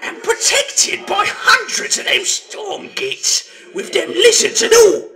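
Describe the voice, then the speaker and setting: A shrill little goblin from a fantasy audiobook. The pitch is extremely high, with a piercing edge and a croak. The delivery is slow, nagging and scolding. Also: male voice, heavily acted. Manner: nagging, shouted slangy speech. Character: small squeaky goblin possessed by a scheming, scolding spirit.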